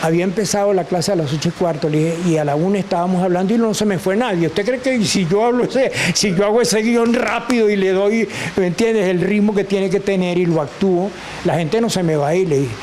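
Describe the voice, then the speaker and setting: An older man with a Venezuelan accent, a guest telling animated stories and acting out the voices of people he quotes. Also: high voice, fairly quick, hardly any pauses, slight background noise. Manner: expressive, acts out quoted voices, laughs often. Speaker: guest, actor and teacher. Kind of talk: animated storytelling.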